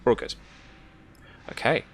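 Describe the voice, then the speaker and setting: A game master narrating a live tabletop horror game in an improvised, halting way, pausing to search for words.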